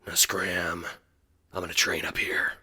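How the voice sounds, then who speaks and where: A man's voice: low voice, monotone, measured pace, pushed and bright, breathy edge, full character voice. A man playing an aloof older brother in an audio drama, talking in a curt, casual way.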